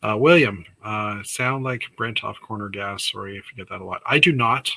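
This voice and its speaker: Raspy-voiced man hosting a livestream. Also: on the low side, moderate pace, bright, forceful tone. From Canada. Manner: rambling, many fillers and restarts. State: raspy, sore throat. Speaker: host of a weekly livestream chat. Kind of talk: casual livestream chat reading news.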